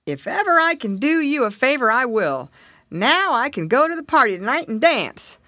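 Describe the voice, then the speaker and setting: A woman's voice: high-pitched, very animated, moderate pace, bright, forceful tone, no room sound, clean studio sound, pitched above the performer's own voice, heavily acted. A woman reading a children's storybook, voicing a big, good-natured, fussy male elephant in an emphatic, exclamatory way. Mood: grateful.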